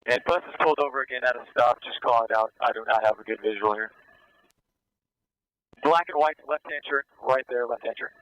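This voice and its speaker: A man calls out directions over a police radio from an air unit. His voice is bright and forceful at a middle pitch, and his phrasing is terse and commanding. The transmission sounds dry and close. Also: fairly quick, clear, resonant tone, no echo, muffled recording. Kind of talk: police radio tactical callouts.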